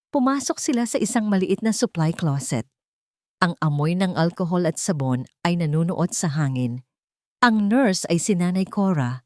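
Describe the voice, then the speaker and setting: Casting: female storyteller, slightly low voice, dramatic scripted narration, very little room sound. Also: darkish tone, no room sound, clean studio sound.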